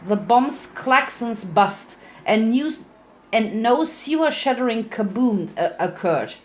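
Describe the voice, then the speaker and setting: A woman's voice: fairly high pitch, animated, slow, a little hiss or hum. A woman giving a playful, theatrical dramatic reading of a fan fiction story as its narrator.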